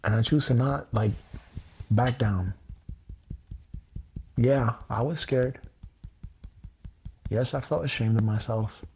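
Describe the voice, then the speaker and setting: A man's voice: medium pitch, slow pace, gravelly, long pauses, a little mellow, slight background noise. A man in his thirties on a livestream, speaking earnest, repetitive self-affirmations with frequent swearing. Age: 30s. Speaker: EFT tapping livestreamer.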